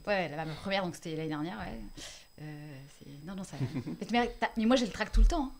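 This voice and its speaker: A woman's voice: on the low side, lively intonation, brisk, slight background noise. Brief: young female comedian guest, casual and chatty, laughs between phrases, often restarts her sentences. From west of Lyon, France. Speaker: comedian guest promoting her stage show. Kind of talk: casual interview chat.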